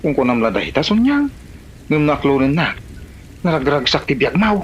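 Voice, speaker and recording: A man's voice: a little high, big pitch swings, moderate pace, husky, dull audio. A man with a Filipino accent playing an earnest, lovesick village suitor in a comedy drama. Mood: hopeful.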